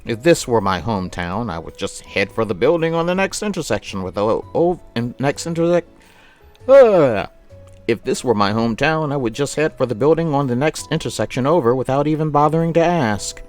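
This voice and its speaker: A man hosting a live game stream, chatting with viewers and reading visual novel narration aloud in an animated, chatty way. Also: mid-range voice, fairly quick, soft background music.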